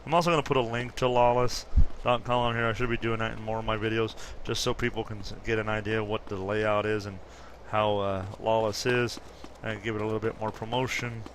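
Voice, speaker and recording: Man in his fifties, gameplay commentary, low voice, rambling, faint hiss behind. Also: little pitch movement, fairly quick, hardly any pauses, fairly bright tone. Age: 50s. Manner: rambling, self-correcting, chatty. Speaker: gaming YouTuber.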